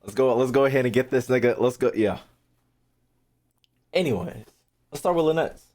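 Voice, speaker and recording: A man's voice: medium pitch, animated, normal speed, darkish tone. An American man hosting a livestream in casual chat, bantering brashly with viewers, swearing freely and repeating phrases for emphasis. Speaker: streamer hosting a reaction video.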